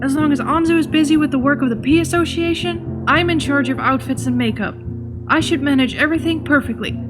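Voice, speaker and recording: A woman's voice: high-pitched, fairly quick, music in the background, natural voice. A woman voicing a fussy, self-assured teenage male idol in an anime fandub, with a prim, precise manner.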